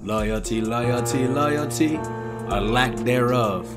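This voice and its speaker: A young American man hosts a gaming reaction with casual, slangy commentary. His voice sits at a medium pitch, with loud game music playing behind it. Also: takes it slowly. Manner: slangy, informal. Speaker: gaming video host.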